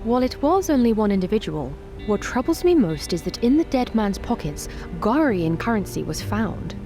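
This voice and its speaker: A woman voicing a young, newly crowned empress in a tabletop game, composed and formal, with measured, courteous speech and a worried air. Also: mid-range voice, sing-song, music in the background. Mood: grave, confiding.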